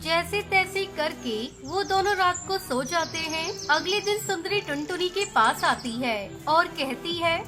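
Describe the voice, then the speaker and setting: A woman narrates a children's animal tale from a script. Her voice is extremely high, and music plays clearly behind the storytelling. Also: measured pace, few pauses.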